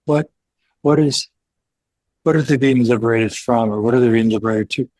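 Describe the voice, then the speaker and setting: Older man, interviewer, slightly husky, careful and halting, dry close sound. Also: mid-range voice, darkish tone, no echo. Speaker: guest interviewer. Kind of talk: interview questions in conversation. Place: home living room.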